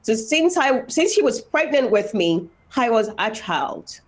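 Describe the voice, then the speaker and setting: A woman's voice: a little high, sing-song, unhurried. A woman in her thirties from Nigeria with a Nigerian accent, telling her life story earnestly as an interview guest. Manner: earnest, emphatic, repeats phrases for weight.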